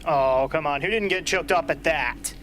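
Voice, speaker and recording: A woman playing a brisk, sardonic rebel strategist in an amateur radio drama, speaking emphatically. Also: low voice, slight background noise, lightly acted. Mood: brisk, commanding.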